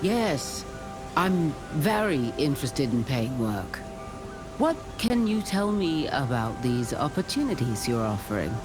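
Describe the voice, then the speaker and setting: Low-voiced resolute hero. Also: female voice, big pitch swings, full character voice, rough recording. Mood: resolute, calm. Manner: measured, serious. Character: composed, resolute female wandering hero adventurer. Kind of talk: video game voice acting. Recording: loud music behind.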